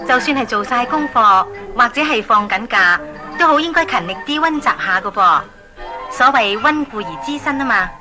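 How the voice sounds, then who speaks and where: A woman's voice: a little high, animated, moderate pace, music in the background. A woman with a Hong Kong accent narrating a scripted story for children, addressing them directly. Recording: 1980s story cassette.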